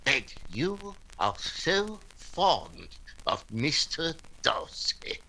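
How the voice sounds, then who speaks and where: A man's voice: on the high side, very animated, extremely slow, bright, forceful tone, in a whisper, heavily acted, rough recording. A man playing a pompous, fussy clergyman suitor who speaks formally in a period radio comedy. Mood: wounded.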